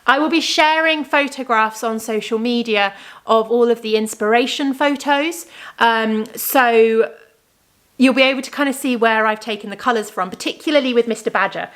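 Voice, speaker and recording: A woman's voice: a little high, moderate pace, fairly bright tone. A woman hosting a crafting podcast, chatting enthusiastically and rambling as she shows off her work, her voice slightly worn. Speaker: crafting podcast host and yarn dyer. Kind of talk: casual show-and-tell chat.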